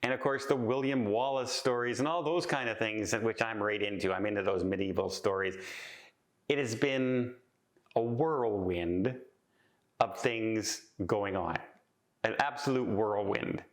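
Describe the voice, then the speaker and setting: A man talking casually in a vlog, chatty and heartfelt, with a calm voice and frequent restarts. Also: lowish voice, a little slow. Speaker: travel vlogger. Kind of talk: casual reflective vlog talk.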